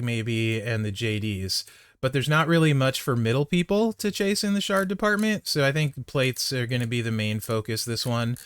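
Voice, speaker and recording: A man with a smooth, clear voice talks through game updates like a content creator. His delivery is casual, blunt and conversational, recorded close and dry with no room sound. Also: mid-range voice, a bit bright, dry recording. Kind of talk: casual off-the-cuff gaming commentary.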